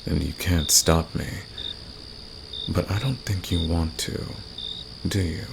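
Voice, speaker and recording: Man voicing a very deep, gravelly, flirtatious villain. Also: takes it slowly, exaggerated voice. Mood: smug, seductive. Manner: teasing, smug, intimate, soft chuckles. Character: flirtatious, confident fantasy villain man, secretly protective. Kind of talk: ASMR romance roleplay audio drama. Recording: clear background noise, night cricket ambience.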